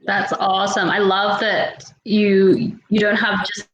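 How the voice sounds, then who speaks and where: A woman's voice: mid-range voice, little pitch movement, takes it slowly, a bit bright, lo-fi sound. A woman hosting a casual interview, speaking in an affirming, encouraging way to her guests. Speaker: interview host. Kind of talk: casual chat. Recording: echoey room with clear hiss or noise.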